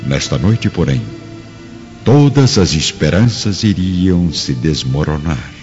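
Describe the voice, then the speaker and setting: A Brazilian man narrates a radio drama solemnly in a very low voice. His delivery is dramatic and animated, on a somewhat dull, lo-fi recording. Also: takes it slowly. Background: clear background music.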